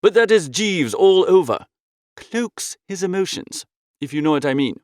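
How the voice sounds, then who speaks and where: A man reads a comic novel in the first person. His voice is fairly high and very animated, breezy and theatrical, with a dry, close sound. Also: normal speed, no room sound. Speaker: audiobook narrator.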